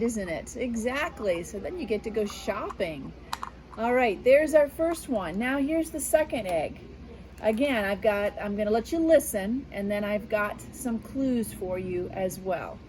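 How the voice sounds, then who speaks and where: A woman's voice: mid-range voice, lively intonation, measured pace, a little mellow, a little hiss or hum, rough recording. A woman who works as a school media specialist, reading a picture book aloud to children and talking to them in a patient, teacherly way from her back porch outdoors. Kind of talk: children's read-aloud lesson.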